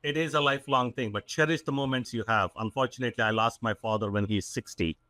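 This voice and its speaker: A man's voice: medium pitch, quick pace, sharp tone, dry recording. A man in his forties from South India with a South Indian accent, a company CEO talking earnestly as a podcast guest, with many fillers and restarts. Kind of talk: casual interview talk.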